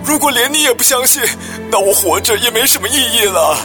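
An actor playing a desperate grown son begging for money in a radio drama. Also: male voice, extremely high pitch, lively intonation, brisk. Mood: desperate, pleading. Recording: music behind the voice.

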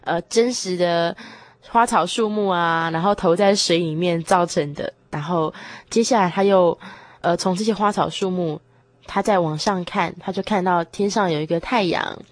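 A young woman with a Taiwanese Mandarin accent, a guest retelling a story in a casual, conversational and animated way. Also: lowish voice, normal speed, dry recording. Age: young adult. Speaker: guest radio drama performer.

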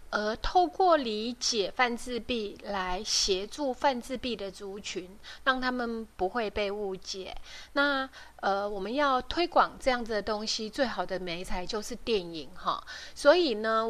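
A woman hosts a talk podcast in Taiwanese Mandarin. Her pitch is middling and the sound is dry, with no room to it. She explains things step by step in an informal way, often closing phrases with soft particles. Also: dry recording. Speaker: radio show host.

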